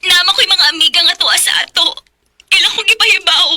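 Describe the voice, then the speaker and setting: A woman's voice: very high-pitched, quick pace, sharp tone, heavily acted. A woman playing a distressed elder sister in a Bisaya radio drama, with a Cebuano Filipino accent.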